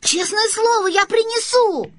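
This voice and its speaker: A woman's voice: very high voice, measured pace, pitched above the performer's own voice. A woman voicing a timid, flustered young boy who answers meekly and apologetically in a storybook reading. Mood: pleading. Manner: meek, babbling apologetically.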